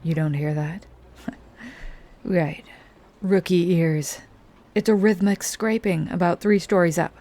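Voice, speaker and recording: A woman voicing a hardened female vampire hunter who bosses a rookie around in an audio roleplay, speaking in a low, commanding, teasing way that often sinks to a hush. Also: fairly even intonation, slow, pauses often. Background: faint ambient soundscape.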